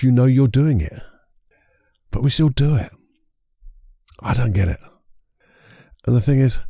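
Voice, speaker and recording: A man with a croaky voice hosting a casual solo podcast, chatty and rambling, correcting himself as he goes. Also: fairly low pitch, dark tone, no room sound, studio quality. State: croaky voice from a lingering cold. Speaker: podcast host and hypnotherapist.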